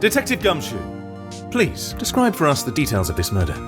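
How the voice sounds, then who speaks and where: An actor playing a stern, formal judge with a husky voice in a video game dub. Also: male voice, on the high side, wildly swooping pitch, fairly quick, music in the background. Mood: stern, inquiring.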